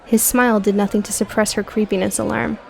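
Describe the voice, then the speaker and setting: Woman narrator, even and slightly creaky. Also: mid-range voice, quick pace, soft background music. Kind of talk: scripted audio book narration.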